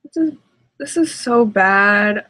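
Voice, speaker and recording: A woman's voice: mid-range voice, animated, takes it slowly, bright, forceful tone. A woman giving casual, exasperated reaction commentary, sounding dismayed and given to sighing.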